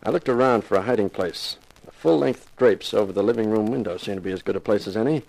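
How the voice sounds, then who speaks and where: A man's voice: lowish voice, fast, vocal fry, a little mellow, poor audio. A man playing a tough, wisecracking private eye in a 1950s radio detective drama, with a wry way of talking. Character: wisecracking, hard-boiled male private eye with a grudge. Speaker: lead actor and narrator. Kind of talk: 1950s radio detective drama, first-person narration and dialogue.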